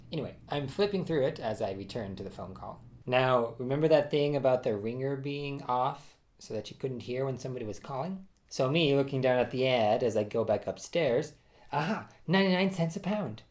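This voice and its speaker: A man's voice: mid-range voice, darkish tone. A man casually telling a personal story in a chatty, self-deprecating way, often laughing at himself.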